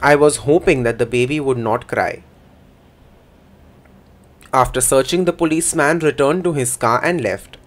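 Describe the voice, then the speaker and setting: A man voicing a first-person interpretation for a signing speaker in a plain, measured way. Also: mid-range voice.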